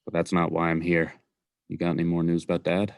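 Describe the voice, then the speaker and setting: A man's voice: deep voice, monotone, brisk, no echo, clean studio sound. A man playing a slightly sleazy salesman uncle in a student radio play, casual and defensive. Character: lightly sleazy middle-aged stolen-watch salesman uncle.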